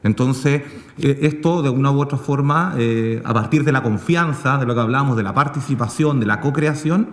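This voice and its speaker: A man speaking off the cuff as a panelist, in a halting way full of filler words. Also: mid-range voice. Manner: halting, filler-heavy phrasing with repeated "digamos". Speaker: panelist, radio project maker. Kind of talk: spontaneous panel talk. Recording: echoey hall with long reverb.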